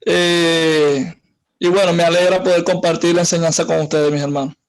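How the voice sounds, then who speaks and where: A man's voice: a little high, flat delivery, moderate pace. A man from Venezuela politely introducing himself in an online class. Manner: polite, warm greetings. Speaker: student. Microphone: video call.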